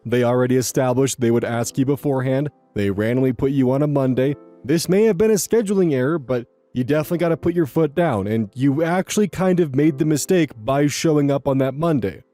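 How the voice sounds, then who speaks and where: A man's voice: medium pitch, a little fast, few pauses, mellow, faint music behind. A young man reading online posts aloud and commenting on them in a rambling, casual way, repeating words and swearing now and then.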